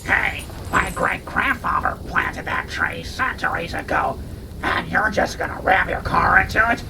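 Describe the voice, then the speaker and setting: A man's voice: a little high, measured pace, vocal fry, background noise. A man playing a cantankerous, blustering townsman who scolds indignantly in a comic radio play.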